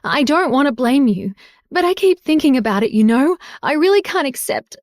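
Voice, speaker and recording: A woman voicing a distraught young wife who pleads in a voice that climbs high when she is upset. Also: animated, a little fast, a little mellow, no room sound, studio quality. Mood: tearful.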